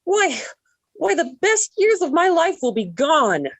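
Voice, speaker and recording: An actress playing a dramatic, lovestruck seventeen-year-old daughter, gushing and pleading in 1940s radio sitcom style. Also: female voice, very high voice, big pitch swings, measured pace, heavily acted. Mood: hurt, dramatic.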